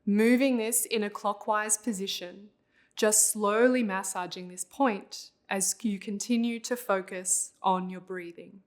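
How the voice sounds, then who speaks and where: A woman leading a guided visualization as a meditation instructor, speaking in a calm, unhurried, instructional way. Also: mid-range voice.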